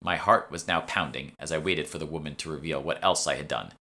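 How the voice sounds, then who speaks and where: A man's voice: rumbling low, a little fast, bright, forceful tone. A man reading scripted narration from a fantasy novel as its author. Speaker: author reading own audiobook.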